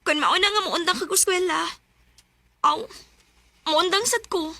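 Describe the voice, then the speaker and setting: A bright, very high-pitched Bisaya schoolgirl. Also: unhurried, dry recording, exaggerated voice. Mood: stubborn, earnest. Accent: Filipino, Bisaya. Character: young schoolgirl Sara, loyal and earnest friend. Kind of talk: Bisaya radio drama acting.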